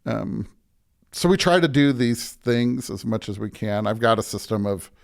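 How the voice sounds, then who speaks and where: An American man who is a pastor, talking informally and a bit haltingly as a guest in a casual interview. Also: lowish voice, expressive, creaky.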